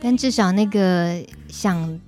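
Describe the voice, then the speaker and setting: A woman's voice: fairly low pitch, slow, a little mellow. A woman with a Taiwanese Mandarin accent hosting a radio show in a casual, conversational interviewing style. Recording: music playing behind the voice.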